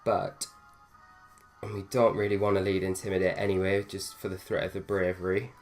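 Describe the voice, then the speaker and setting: A man's voice: low voice, level tone, brisk, a little mellow, faint music behind. A young man with a slightly nasal voice from a cold, casually commentating on his own gameplay and thinking aloud with fillers. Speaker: gaming video host.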